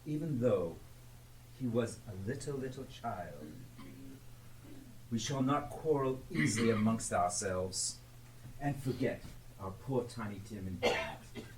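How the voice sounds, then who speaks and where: A grieving, gentle family father , acted by a man, speaks earnestly and slowly, with big rises and falls. The delivery is theatrical and drawn out. It comes from a live stage, echoey and distant from the mic. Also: on the low side, expressive. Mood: tender grief. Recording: echoey, noisy live stage recording from afar.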